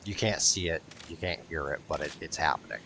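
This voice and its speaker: Deep, gravelly man doing game commentary. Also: fairly quick, pushed and bright. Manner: sardonic, grumbling, swears freely. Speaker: gaming video host. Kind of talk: casual video game playthrough commentary. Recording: noticeable background noise.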